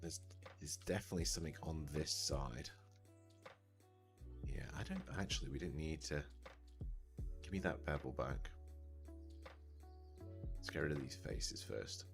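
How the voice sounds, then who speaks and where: A man with a slightly croaky, scratchy throat, casually thinking aloud as he streams a tutorial, with fillers and restarts. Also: very deep voice, animated, unhurried, long pauses. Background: soft background music.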